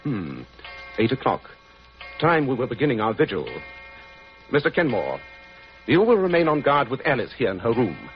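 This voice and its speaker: A man playing a shrewd British gentleman detective in a radio drama, precise, formal and authoritative. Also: medium pitch, wildly swooping pitch, moderate pace, long pauses, soft background music. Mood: commanding. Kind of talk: old-time radio drama acting. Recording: dull, faintly noisy old radio recording.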